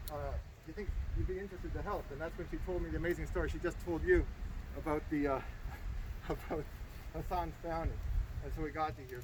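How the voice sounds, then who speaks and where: A somewhat high-voiced man speaks earnestly to an outdoor gathering, telling his story with gratitude. Noise around him fills the open-air recording. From Estonia. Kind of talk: outdoor public speech, personal story. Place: outdoors by a river.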